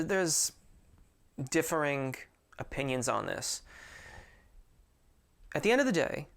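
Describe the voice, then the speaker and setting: A man's voice: fairly high pitch, a bit bright, clear, resonant tone. A man who teaches singing, answering viewer questions in a casual, friendly way and often restarting his sentences. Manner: chatty and informal, with frequent restarts.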